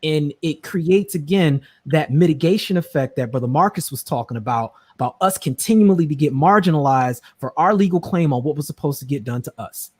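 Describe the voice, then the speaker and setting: A man in a live stream panel discussion, making long measured analytical points. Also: a little high, darkish tone, breathy edge, no echo, clean studio sound.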